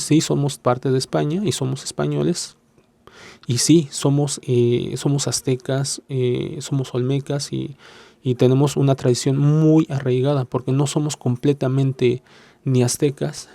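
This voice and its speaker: A man's voice: medium pitch, creaky, warm tone, no room sound. A Mexican man with a Mexican accent, hosting a casual reaction video, talking hesitantly with many fillers and playing down his own opinion. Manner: hesitant, lots of filler sounds and restarts; self-deprecating, hedges his opinions.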